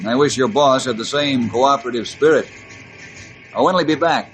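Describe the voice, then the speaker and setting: An actor playing a brisk, authoritative forest ranger chief in an old-time radio western. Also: male voice, medium pitch, faint music behind, lightly acted, rough recording. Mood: firm. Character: forest ranger chief, firm, decisive, fair-minded leader.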